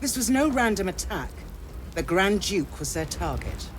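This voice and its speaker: An actress playing a stern, dutiful city councillor in a video game, speaking formally and gravely with urgent authority. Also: female voice, medium pitch, big pitch swings, moderate pace, slight background noise, natural voice. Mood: grave, commanding. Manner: formal, commanding. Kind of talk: video game dialogue acting.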